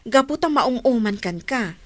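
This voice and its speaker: A woman with an Ilocano accent playing a scornful, sharp-tongued rival in a radio drama, scolding and taunting. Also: a little high, animated, normal speed, no room sound, lightly acted.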